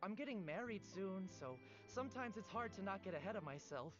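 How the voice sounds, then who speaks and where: A woman's voice: mid-range voice, expressive, a little fast, close to an everyday voice. A woman voicing a flustered young newspaper seller who is polite, nervous and rambling. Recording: dull game audio with music.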